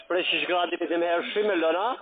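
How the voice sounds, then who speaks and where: A man's voice: high voice, steady tone, a little fast. A man with a foreign accent, a non-native speaker working in a call center, arguing on the phone in a repetitive, defensive way. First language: not German. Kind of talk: argumentative phone conversation. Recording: tinny narrow phone line.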